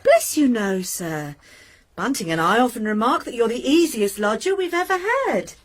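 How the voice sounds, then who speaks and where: An actress playing an anxious, sharp-tongued middle-aged landlady who talks in a tense, curt way. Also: a little high, very animated, moderate pace, a little hiss or hum. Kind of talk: radio drama acting.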